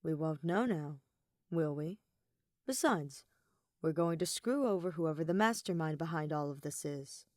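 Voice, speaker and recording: Composed teenage boy schemer, read by a woman, lowish voice, plain measured delivery, guarded, story audio. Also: expressive, darkish tone, dry recording, studio quality. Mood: resolute.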